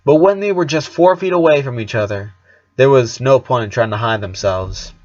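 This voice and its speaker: Male story narrator, medium pitch, amateur read with the odd stumble, slightly lo-fi sound. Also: normal speed, a little mellow. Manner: occasional stumbles over words while reading. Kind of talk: fan fiction read aloud.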